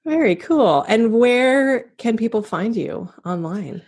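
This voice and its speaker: A woman's voice: a little high, expressive, slow pace, darkish tone, slightly rough. A woman hosting a podcast, talking in a casual, enthusiastic way and laughing often. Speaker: podcast host.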